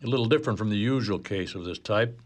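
A man's voice: on the low side, fast talker, close to an everyday voice. A man playing a matter-of-fact police detective in a comedy radio play, dry and businesslike. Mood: dry, reassuring.

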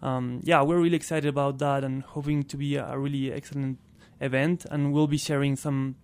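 Smooth-voiced man with an Argentine accent. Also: medium pitch, level tone, a little fast, a little mellow. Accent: Argentine Spanish. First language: Spanish. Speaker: interview guest, clean transportation programme worker. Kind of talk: casual radio interview.

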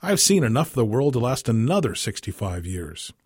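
Man reading as a 65-year-old farmer in a radio story: husky, folksy, grumbly but game. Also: mid-range voice, sing-song, fairly quick, no echo, clean studio sound. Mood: grumbling. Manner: folksy, plain-spoken.

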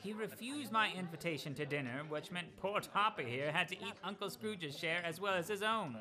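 Actor as a laughing party host, lively high delivery, crowd in the room. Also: male voice, normal speed, natural voice. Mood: merry. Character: Scrooge's cheerful young nephew Fred, warm host.

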